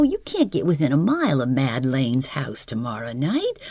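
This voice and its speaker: A woman voicing a folksy male outlaw sidekick who scolds his partner, talking fast. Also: sits low, warm tone, husky, dry recording, heavily acted.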